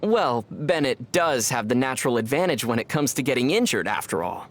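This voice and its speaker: A calm young traveler from a video game, played by a man, with a medium-pitched voice. He speaks thoughtfully and evenly paced, like a storyteller, with dry wry humor. A faint rumble sits behind the voice. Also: a little fast, close to an everyday voice. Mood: explaining.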